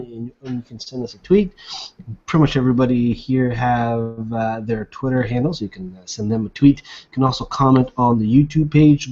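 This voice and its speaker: A man's voice: medium pitch, a little mellow. A man hosting a casual live web show from a video call, chatty and upbeat with frequent filler words. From Nova Scotia, Canada. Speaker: host. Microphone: webcam video call. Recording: dull lo-fi video call audio.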